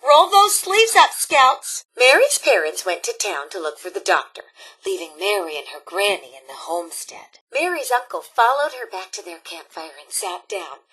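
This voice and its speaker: Bright, animated robot scout leader. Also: female voice, medium pitch, moderate pace, slightly rough, exaggerated voice, low-quality recording. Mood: spooky, suspenseful. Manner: theatrical, folksy, encouraging. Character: cheerful, motherly robot scout leader telling spooky campfire tales. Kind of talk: video game voice acting, campfire storytelling.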